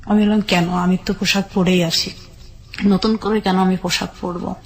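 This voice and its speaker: A woman with a Bangladeshi accent tells her personal story in an interview, measured and step by step in short phrases. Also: lowish voice, little pitch movement, creaky. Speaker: guest telling her life story.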